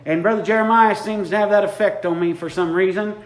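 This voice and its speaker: Fervent preacher, high-pitched man, drawn-out lines, roomy sanctuary. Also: steady tone. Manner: fervent, repeats lines for emphasis, drawn-out phrases. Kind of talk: impromptu sermon. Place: church sanctuary. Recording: echoey church room.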